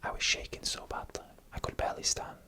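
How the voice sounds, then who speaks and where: Whispering ASMR horror storyteller. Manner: slow, deliberate, dramatic pauses between short phrases. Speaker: narrator.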